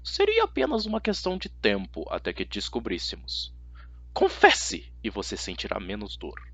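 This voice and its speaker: A man voicing a menacing female teacher who is secretly a monstrous hag, speaking slowly in a hissing, threatening way, in an audiobook. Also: lowish voice, wildly swooping pitch, fairly bright tone, breathy edge, dry recording, full character voice. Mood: cold threat.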